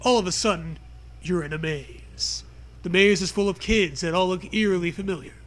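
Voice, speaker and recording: A man's voice: high voice, moderate pace, a bit bright. A man reading a comic storybook aloud, with casual banter mixed in. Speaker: reader of a comic choose-your-own-adventure book.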